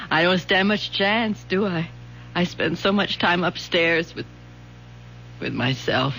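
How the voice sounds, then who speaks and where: A woman's voice: lowish voice, slow, gravelly, a bit bright, heavily acted. An actress in a 1970s radio drama playing a lonely, bitter, fragile middle-aged mother with an anguished, theatrical delivery. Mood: lonely, brittle. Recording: dull, hissy old radio broadcast.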